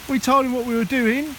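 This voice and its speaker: A man with a notably high voice talks casually and jokily to the camera. He is outdoors in rainy woodland. Rain is clearly audible behind him. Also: rough recording. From Sheffield, England. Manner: jokey, chatty, laughs often. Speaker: vlogger. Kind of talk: casual outdoor vlog chat. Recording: rain noise in the background.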